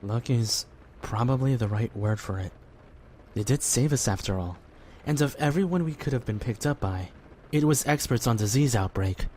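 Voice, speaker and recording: A man's voice: mid-range voice, animated, moderate pace, a little mellow, lightly acted. A man acting as an earnest, devout young man in a fan audio drama, speaking politely and sincerely, a little hesitant. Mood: mild, hesitant. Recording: clearly noisy background ambience.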